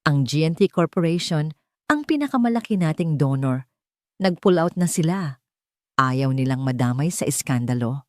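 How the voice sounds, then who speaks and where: A woman's voice: low voice, expressive, moderate pace, darkish tone, dry recording. A woman narrator voicing an anxious, grave finance chief delivering bad news in an audio drama. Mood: worried.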